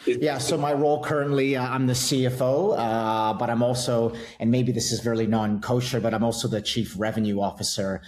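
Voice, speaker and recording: A man's voice: mid-range voice, little pitch movement, fairly quick, few pauses, darkish tone. A middle-aged man with a Canadian accent, a finance executive speaking casually as a podcast guest, with many fillers and a self-deprecating tone. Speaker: guest CFO. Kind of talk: podcast interview.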